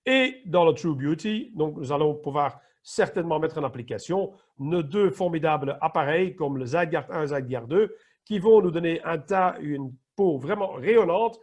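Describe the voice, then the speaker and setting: A man's voice: a little high, expressive, moderate pace, darkish tone. A middle-aged Flemish man, an emergency nurse, explaining health topics in a training session, sometimes searching for his words. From Flanders, Belgium. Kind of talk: online training presentation.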